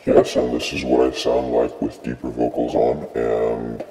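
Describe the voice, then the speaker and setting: A young man casually talking through a voice changer that drops his voice unnaturally low. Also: monotone, a little slow, warm tone, a little hiss or hum. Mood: casual demonstrating. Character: same man turned into an unnaturally deep giant voice. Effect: pitch-lowering voice changer. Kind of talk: casual demo.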